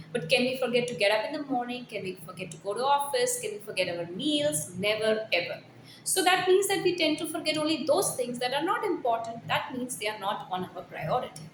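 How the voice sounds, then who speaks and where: Echoey room, faint background noise, woman, emphatic trainer delivery, scripted read-aloud with personal asides. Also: a little high. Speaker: corporate trainer and presenter.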